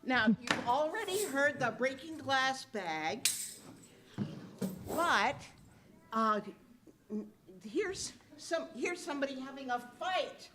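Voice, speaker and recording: Female children's theater presenter, live show, higher-set voice, slow instructional delivery. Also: lively intonation, noisy background. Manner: instructional, addressing a young audience. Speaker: sound effects presenter at children's audio theater. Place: live library event before an audience.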